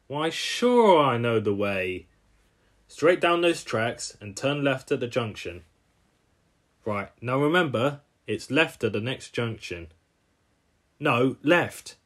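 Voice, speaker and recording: A man voicing a friendly, excitable cartoon railway character who rambles and gets flustered. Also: mid-range voice, slow. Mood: helpful.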